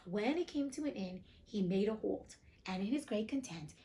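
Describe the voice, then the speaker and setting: A woman's voice: mid-range voice, expressive, moderate pace, mellow, a little hiss or hum. A woman reading a storybook aloud as host, breaking off for chatty, informal, joking commentary. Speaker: reader and host of a classics read-aloud show.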